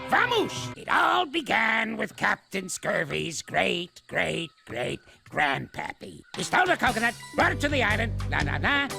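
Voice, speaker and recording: A grouchy old ape from a cartoon, barking sarcastic insults at everyone around him. His voice is gravelly and full of creak, and it leaps all over the place in pitch. Music plays loudly behind him. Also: heavily acted. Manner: scolding, sarcastic, barks insults. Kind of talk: cartoon voice acting.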